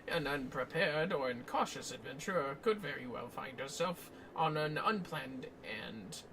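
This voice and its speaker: Man putting on a pompous, lively fantasy scholar. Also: fairly high pitch, a little hiss or hum, low-quality recording. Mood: measured, grave. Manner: pompous, deliberate, ornate diction. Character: learned, formal archaeologist leading an expedition, grandly wordy. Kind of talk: dramatic reading of fantasy game dialogue.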